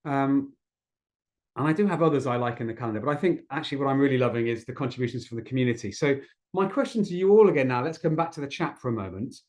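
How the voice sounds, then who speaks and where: A man with a mid-pitched voice leads an online community discussion. His manner is encouraging and appreciative as he invites people to take part. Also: expressive, fast, a little mellow. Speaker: host of an online community gathering. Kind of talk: facilitating an online group discussion. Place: online video call.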